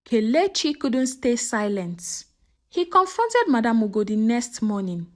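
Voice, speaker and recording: A woman narrates a dramatic folktale as a storyteller. Her voice sits fairly high and swings widely in melody, giving the scripted narration a very animated delivery. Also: unhurried.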